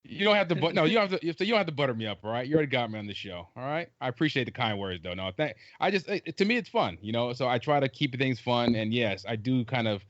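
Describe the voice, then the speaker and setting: A middle-aged man from Trinidad, raised in South Carolina, chatting casually as a podcast guest in a jokey, animated way with many restarts. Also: medium pitch, fast talker, few pauses, dry recording, muffled recording. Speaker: podcast guest, basketball writer. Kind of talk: casual chat.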